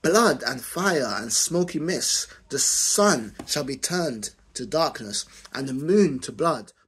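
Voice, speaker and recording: A young man with a rough, husky voice reading scripture aloud in a room at home. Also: medium pitch, expressive, slow, fairly bright tone. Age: young adult.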